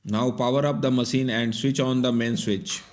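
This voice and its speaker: A man's voice: medium pitch, fairly even intonation, normal speed. A man giving scripted, step-by-step instructional narration in a plain, methodical way. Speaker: repair tutorial narrator.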